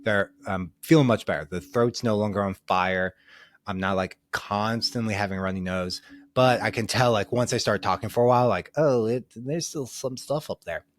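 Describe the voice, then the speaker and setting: A man's voice: lowish voice, normal speed. A man hosting a solo podcast, a little nasal and congested from a cold, talking casually and rambling with many ums and restarts. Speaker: podcast host.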